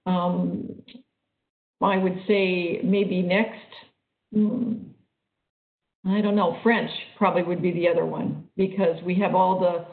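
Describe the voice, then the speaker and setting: A woman lecturer answering questions on an online call, speaking haltingly with many fillers and restarts. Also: on the low side, level tone, creaky. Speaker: guest lecturer. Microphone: video call. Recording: echoey, phone-like call audio.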